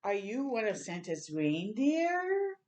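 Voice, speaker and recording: A woman's voice: medium pitch, big pitch swings, slow, a little mellow. A woman voicing a friendly, curious small child asking simple questions in a children's storybook.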